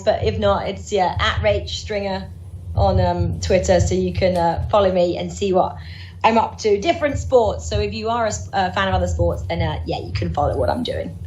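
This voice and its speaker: A woman's voice: medium pitch, a little mellow, a little hiss or hum, poor audio. A woman from Norwich who works as a sports presenter, chatting upbeat in an interview with lots of fillers and restarts. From Norwich, England. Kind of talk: casual interview chat.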